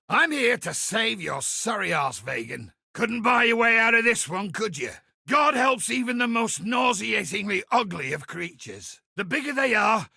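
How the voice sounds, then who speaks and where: A man voicing a zealous, wry templar priest in a video game, with a gravelly, raspy voice and a preachy, theatrical delivery. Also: high-pitched, pushed and bright, dry recording, clean studio sound, full character voice. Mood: mocking, bold. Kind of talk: video game character voice acting.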